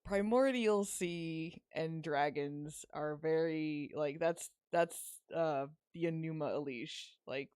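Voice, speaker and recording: A man's voice: fairly high pitch, slow pace, few pauses, a little mellow, smooth, no echo, clean studio sound. A man co-hosting a podcast, giving casual commentary on a game with wry, jokey asides. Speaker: podcast co-host. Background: game music.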